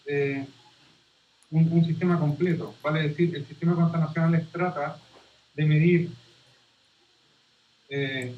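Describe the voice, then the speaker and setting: A man from Chile with a Chilean accent, giving a guest lecture on statistics over a video call in a halting, informal way and often restarting his phrases. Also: fairly high pitch. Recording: roomy, dull video-call audio.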